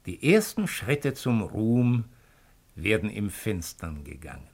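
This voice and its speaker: Shrewd Roman imperial agent, low voice, lively swooping intonation, mocking, worldly, 1950s radio drama. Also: male voice, husky, exaggerated voice. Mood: knowing, warning. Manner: mocking, worldly, deliberate rhetorical delivery. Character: shrewd, cynical male imperial agent of ancient Rome. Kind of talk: 1950s German radio play acting.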